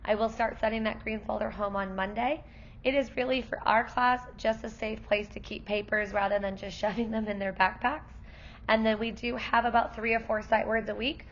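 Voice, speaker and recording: A woman's voice: on the high side, fairly even intonation, moderate pace, dull audio, a little hiss or hum. A woman kindergarten teacher who is losing her voice, explaining class information to parents in a friendly, explanatory way. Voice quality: gravelly, worn voice. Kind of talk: parent night presentation.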